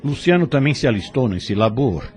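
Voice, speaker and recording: A man's voice: medium pitch, big pitch swings, brisk, darkish tone, natural voice. A Brazilian man playing a serene, fatherly spirit instructor in an audio drama, speaking formally and consolingly as he teaches. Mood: calm, instructive.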